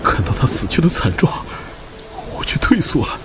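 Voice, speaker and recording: A man playing a timid, desperate labourer haunted by guilt in an animated drama, anxious, earnest and pleading. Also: fairly high pitch, moderate pace, noisy background. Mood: mournful.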